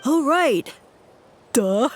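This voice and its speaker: Squeaky, slow little mushroom creature. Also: female voice, expressive, music in the background, exaggerated voice. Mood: gleeful, dim. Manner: exclamatory, slow drawn-out delivery. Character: dim, easily startled little mushroom sidekick creature. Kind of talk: cartoonish video game voice acting.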